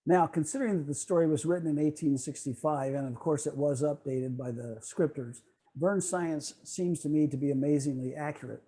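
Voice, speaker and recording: A man giving a prepared, explanatory lecture in a measured way on a video call. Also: a little high, steady tone, dark tone, a little hiss or hum. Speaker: presenter.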